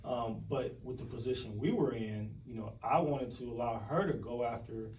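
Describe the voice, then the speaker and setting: A man's voice: mid-range voice, normal speed, darkish tone. A man speaking as an interview guest in an earnest, reflective and informal way. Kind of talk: casual conversational interview. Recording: roomy, distant mic, faint background noise.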